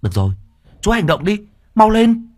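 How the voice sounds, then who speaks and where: A man voicing a brash young man, hurried and scolding, raised high above his own voice. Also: sing-song, darkish tone. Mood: urging.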